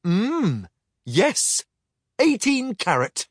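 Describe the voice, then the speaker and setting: Man putting on a high, fussy storybook robot brain, prim. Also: wildly swooping pitch, drawn-out words, breathy edge, dry recording, clean studio sound, full character voice. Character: prim, clever robot pirate quartermaster.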